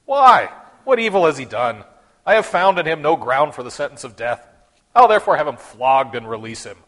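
A man playing a forceful, exasperated Roman governor in a dramatic scripture reading, declaiming in a commanding, emphatic way. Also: fairly high pitch, big pitch swings, moderate pace.

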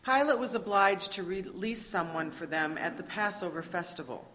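A woman's voice: on the low side, lively intonation, normal speed, fairly bright tone. Woman narrating scripture in a reverberant hall. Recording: reverberant hall with faint noise.

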